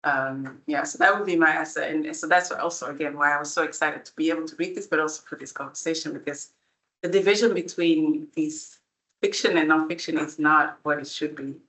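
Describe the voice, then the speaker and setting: A woman's voice: low voice, steady tone, brisk, a bit bright, low-quality recording. A woman, an international relations academic, thinking aloud in a panel discussion with many restarts. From Belgium. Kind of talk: panel discussion at a book event.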